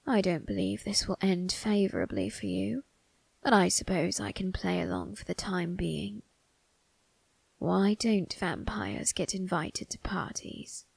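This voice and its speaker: An eerie, ageless male undertaker, acted by a woman speaks in an ASMR roleplay. The voice is husky and breathy, crackling heavily with creak. The phrasing is formal and slyly amused, needling the listener with soft tag questions. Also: fairly low pitch, no room sound, exaggerated voice. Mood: dry, suspicious. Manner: slow, formal, teasing delivery with drawn-out tag questions.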